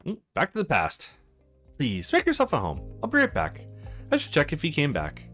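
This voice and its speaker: A man's voice: on the high side, wildly swooping pitch, normal speed, fairly bright tone, soft background music. A man hosting a let's play, reading visual novel dialogue aloud, acting out lines and adding casual commentary. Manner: acts out characters' lines with exclamations.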